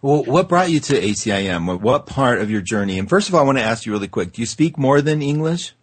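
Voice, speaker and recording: An enthusiastic man hosting an interview over a remote call, eager and jumping quickly between questions. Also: medium pitch, sing-song, breathy edge, no room sound. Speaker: host. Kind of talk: excited interview chat.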